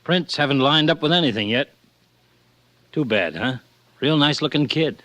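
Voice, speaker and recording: A man's voice: fairly high pitch, normal speed, bright, forceful tone, a little hiss or hum. An actor playing a friendly, matter-of-fact male police lieutenant with a plain, clipped manner in 1940s radio drama.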